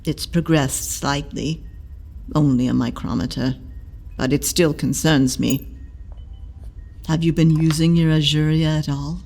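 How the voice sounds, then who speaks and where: Old healer lady, gravelly voice, slow and dry, bossy but motherly. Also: low voice, steady tone, a little mellow. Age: older. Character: elderly woman healer, blunt, motherly, teasing. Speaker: matron healer. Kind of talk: audio drama acting.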